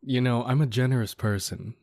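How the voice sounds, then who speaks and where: A man's voice: medium pitch, fairly quick, a little mellow, dry recording, close to an everyday voice. A man playing a cocky but considerate bandit leader in an audio roleplay, talking to the listener in a casual, folksy, wryly mock-courteous way. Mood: mocking, smug.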